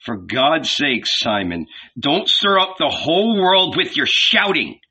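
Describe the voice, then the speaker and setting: A man voicing an anxious male fisherman who pleads in an urgent, hushed way. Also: fairly high pitch, very animated, measured pace, husky, full character voice.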